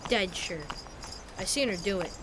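A woman's voice: mid-range voice, moderate pace, noisy background, lightly acted. A performer playing a blunt, cheeky frontier boy with unschooled American country talk in a Western radio drama. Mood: defiant, unsure.

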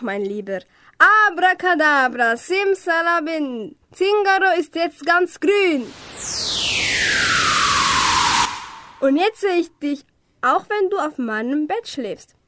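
A teenage girl playing a grumpy old witch who dotes on her cat in a school radio play, declaiming slowly. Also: very high-pitched, a bit bright, no echo, full character voice. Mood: scolding, then bossy.

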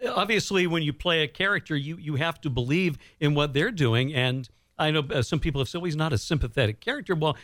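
A man's voice: on the high side, fast, hardly any pauses, fairly bright tone, no echo. A man hosting an interview, asking conversational questions and offering his own comments. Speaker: radio interviewer, host.

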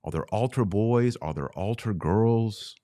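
A man's voice: lowish voice, unhurried, dark tone, no echo, clean studio sound. A man hosting a religious podcast, talking casually and instructively straight to his online audience. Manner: conversational, didactic, addresses listeners directly. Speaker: podcast host.

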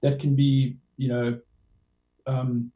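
A man with a South African accent, a team director answering interview questions in a measured way and often restarting his phrases. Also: mid-range voice, flat delivery, warm tone, lo-fi sound. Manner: measured, restarts phrases with many "you know"s. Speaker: motorsport team director, guest. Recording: echoey room, distant from the mic.

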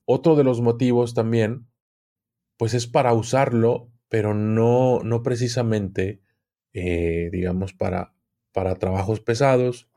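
A man with a Mexican accent speaking as an interview guest, explaining things conversationally and haltingly, often repeating words. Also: mid-range voice, fairly even intonation, warm tone. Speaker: podcast guest, occultism expert.

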